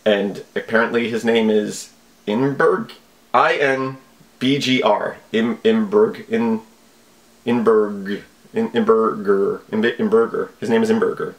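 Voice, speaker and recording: A man's voice: on the low side, measured pace, poor audio. A man in his thirties giving casual, wry, mock-earnest comic commentary as a video host. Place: small home office, indoors.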